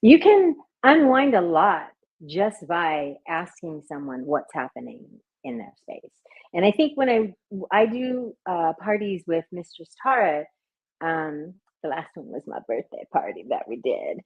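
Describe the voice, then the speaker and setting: Soft, husky-voiced woman hypnotist. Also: lowish voice, very animated, a little slow, narrow, tinny audio. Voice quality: soft, soothing. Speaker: hypnotist and party performer. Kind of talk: conversational interview answers.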